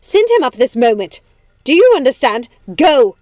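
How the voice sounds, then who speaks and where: A woman's voice: a little high, very animated, normal speed, darkish tone, dry recording, faint music behind, voice pushed up. A woman voicing a haughty, imperious aristocratic lady in an audiobook story. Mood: furious screaming. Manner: imperious, demanding.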